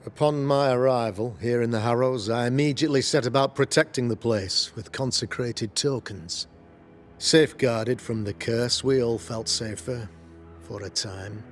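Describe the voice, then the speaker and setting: A man's voice: medium pitch, normal speed, soft background music, natural voice. An actor playing a self-righteous Puritan witch-hunting governor in a video game, speaking in a formal, preacherly, pompous way. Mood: grave, insistent. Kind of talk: dramatic video game acting.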